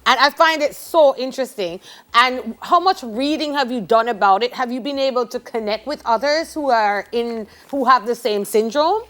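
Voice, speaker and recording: Female morning co-host, fairly high voice, quick exclamations. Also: a bit bright. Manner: reacts with short exclamations. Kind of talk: live TV interview.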